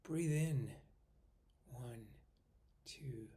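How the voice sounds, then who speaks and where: Slow-paced man guiding a meditation. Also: medium pitch. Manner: unhurried, pausing between short phrases, often trailing off softly. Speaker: meditation guide.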